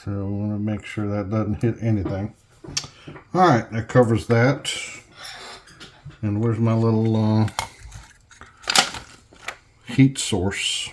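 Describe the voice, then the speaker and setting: Slow-talking man muttering over hands-on work. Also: lowish voice, steady tone, a little mellow. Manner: folksy, muttering to himself while working. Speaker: hobbyist host demonstrating an electronics conversion. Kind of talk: casual hands-on workbench narration. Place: indoors at home workbench. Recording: clearly noisy home recording, clicks.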